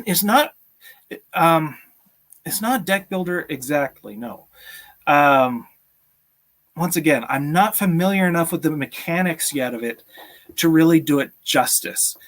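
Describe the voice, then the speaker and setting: A man's voice: on the high side, a bit bright. A man in his thirties hosting a live stream, chatting casually and answering viewer questions in a friendly, appreciative way. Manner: friendly, appreciative, chuckles often. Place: small room at home, indoors.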